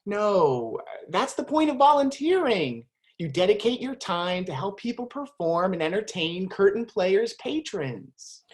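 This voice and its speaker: A woman's voice: mid-range voice, sing-song, a little slow, hardly any pauses. A woman playing a flustered, stammering stage manager who scolds the narrator in a community stage play. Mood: exasperated. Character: flustered female stage manager trying to keep the show going.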